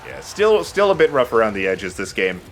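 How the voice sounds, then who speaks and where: A man's voice: medium pitch, very animated, fairly quick, fairly bright tone, soft background music. A man giving casual, rambling and opinionated video game commentary as the host of a let's play.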